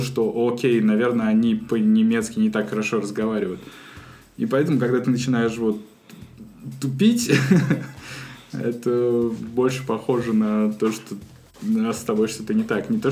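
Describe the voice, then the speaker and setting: A man's voice: mid-range voice, warm tone. Man telling a story casually. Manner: storytelling, loose, chuckling. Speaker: podcast co-host. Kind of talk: casual conversation.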